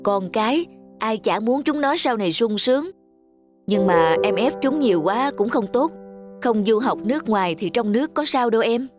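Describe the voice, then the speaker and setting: A woman voicing a kind, soft-spoken, understanding young mother in a cartoon. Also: on the high side, animated, moderate pace, music in the background, close to an everyday voice. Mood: concerned. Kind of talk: cartoon dubbing.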